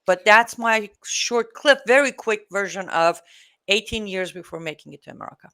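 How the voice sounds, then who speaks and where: A woman in her forties from Iran, a guest telling her life story in an outspoken, animated way. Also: mid-range voice, moderate pace. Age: 40s. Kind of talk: casual interview talk.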